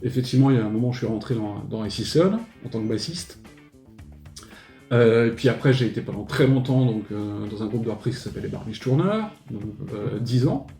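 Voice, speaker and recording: A man in his forties, a musician from Paris, talks in a relaxed, rambling way, often stopping to search for words. His voice is dark and mellow, set in a noticeably roomy space with faint music underneath. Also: mid-range voice, fairly quick. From Paris, France. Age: 40s. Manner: hesitant, many fillers and restarts. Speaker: musician and music video director, guest. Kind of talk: casual interview chat.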